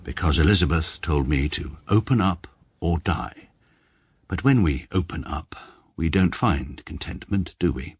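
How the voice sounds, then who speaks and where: A stiff, pedantic English barrister, narrating his life in a dry, clipped, deadpan way. The voice is very low and a little husky, yet the pitch swings widely as he lays out his logic. It sounds close and dry, like a studio radio play. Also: measured pace, no room sound, exaggerated voice. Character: stiff pedantic male barrister, coolly precise. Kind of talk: radio comedy drama.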